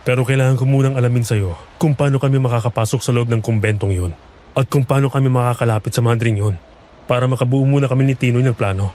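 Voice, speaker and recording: A man's voice: mid-range voice, fairly even intonation, quick pace, darkish tone, slight background noise, natural voice. A man playing a serious, earnest young farmer in a horror audio drama, often questioning. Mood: earnest, worried. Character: serious young farmer searching for his sibling.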